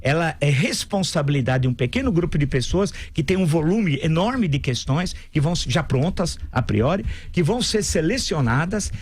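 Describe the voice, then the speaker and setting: Emphatic Brazilian male commentator, somewhat high voice. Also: animated, moderate pace, hardly any pauses, slight background noise. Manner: emphatic, insistent, repetitive. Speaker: political commentator. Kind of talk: opinionated on-air commentary.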